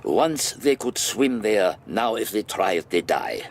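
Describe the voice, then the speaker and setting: An actor playing a menacing older man in a radio drama, speaking in formal, precise, deliberate phrases. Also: lowish voice, big pitch swings, slight background noise. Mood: stern, irritated. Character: menacing aging male villain, formal, cold, deliberate.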